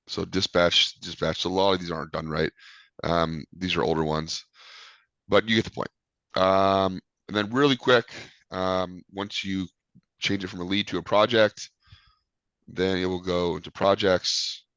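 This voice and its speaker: Man with a cold, very low voice, rambling with ums, dry muffled call audio. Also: steady tone, a little slow, fairly bright tone, no echo. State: sick with a cold. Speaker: sales trainer. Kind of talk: training call walkthrough.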